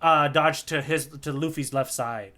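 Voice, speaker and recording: A man with a very bright, slightly high voice talks as a podcast co-host. He explains things casually and with animation, working through each point conversationally and often checking that he is being followed. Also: unhurried.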